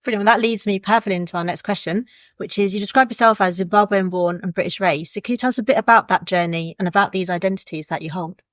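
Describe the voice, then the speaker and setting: A woman's voice: medium pitch, fast talker, a bit bright, no echo. A woman co-hosting a podcast, asking friendly interview questions with some hesitant fillers as she frames them.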